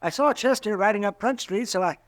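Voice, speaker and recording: A folksy older town doctor. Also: male voice, high voice, fairly quick, fairly bright tone, no room sound. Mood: offhand. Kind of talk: 1950s radio drama acting.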